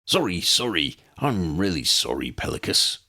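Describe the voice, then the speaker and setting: A man's voice: deep voice, big pitch swings, normal speed, bright, forceful tone, full character voice. A man voicing a big, friendly, hearty half-giant in a storybook reading. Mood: apologetic.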